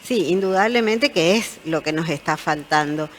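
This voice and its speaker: Low-voiced woman, measured. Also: slight background noise. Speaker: panel guest. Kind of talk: TV panel discussion.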